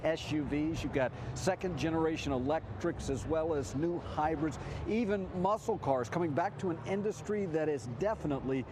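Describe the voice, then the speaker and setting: Male American field reporter, slightly high voice, live report with clear show-floor noise behind. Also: normal speed. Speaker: TV news correspondent. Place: auto show hall.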